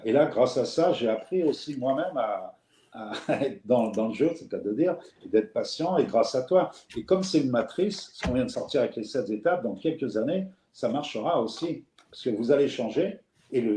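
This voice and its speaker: Parisian host, musing and rambling, mid-pitched, echoey room. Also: male voice, lively intonation, normal speed, dark tone, a little hiss or hum. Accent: Parisian French. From France. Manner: rambling, reflective, many fillers and restarts. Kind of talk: casual live conversation. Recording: roomy echo.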